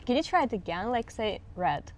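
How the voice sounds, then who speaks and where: A woman's voice: on the high side, big pitch swings, brisk, a little hiss or hum. Russian-accented woman coaching pronunciation. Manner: patient, explains with examples. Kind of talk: teaching pronunciation.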